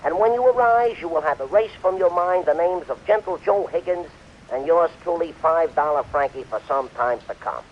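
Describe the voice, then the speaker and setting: A man's voice: fairly high pitch, expressive, normal speed, natural voice. A man playing a pompous wordy racketeer. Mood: smug. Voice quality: florid, old-fashioned formal diction. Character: pompous wordy male racketeer, smooth and self-assured. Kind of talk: 1940s radio acting. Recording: dull lo-fi vintage radio recording.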